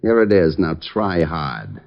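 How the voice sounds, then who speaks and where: An actor playing a gruff, menacing gangster boss who talks in ungrammatical tough-guy slang, in an old-time radio drama. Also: low voice, sing-song, measured pace, heavily acted. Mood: cool menace. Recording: muffled vintage radio recording.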